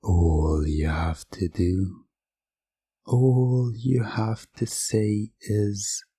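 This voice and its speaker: A man giving soft, commanding, repetitive hypnotic suggestions in an ASMR roleplay, close to the mic. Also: fairly low pitch, drawn-out words, slightly rough.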